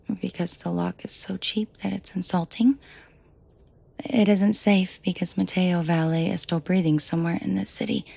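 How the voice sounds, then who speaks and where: Woman acting a breathy, protective mafia boss lady. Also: lowish voice. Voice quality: breathy, soft, often whispered. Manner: unhurried, dry teasing, quietly authoritative. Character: protective, commanding female mafia boss, tender and menacing. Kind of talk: intimate ASMR roleplay audio acting.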